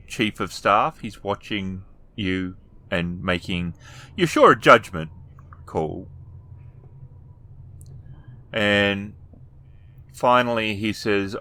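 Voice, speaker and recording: A male game master with a deep voice describes scenes for his players. He talks slowly, piecing the narration together in halting, improvised phrases. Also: pushed and bright.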